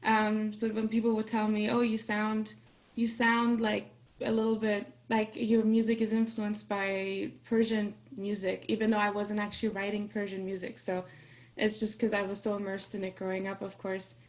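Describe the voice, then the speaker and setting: A woman from Austria whose first language is Farsi, introducing herself in a relaxed, friendly way on a video call. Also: medium pitch, normal speed. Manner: friendly, relaxed, laughs now and then. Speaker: workshop participant. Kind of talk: casual self-introduction.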